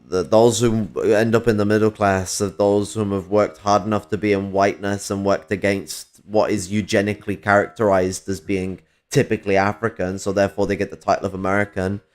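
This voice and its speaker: This is a man with a Northern English accent and a fairly low voice, a little whiny in tone. He rambles through casual political chat, swearing freely and tripping over fillers and restarts as he goes. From Northern England. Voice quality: slightly whiny.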